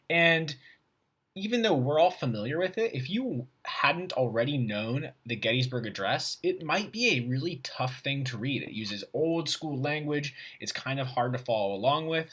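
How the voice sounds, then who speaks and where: A man's voice: mid-range voice, a bit bright, breathy edge. A man teaching by example, reading a text aloud and stopping to puzzle over it informally in his own words. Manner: informal, pausing to puzzle over and paraphrase what he reads. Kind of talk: read-aloud demonstration, thinking out loud.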